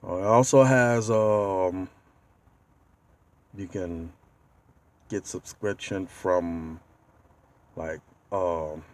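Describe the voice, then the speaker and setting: A man giving a casual how-to walkthrough as a DIY video host, speaking haltingly and pausing mid-sentence to find his words. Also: low voice, fairly even intonation. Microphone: handheld tablet.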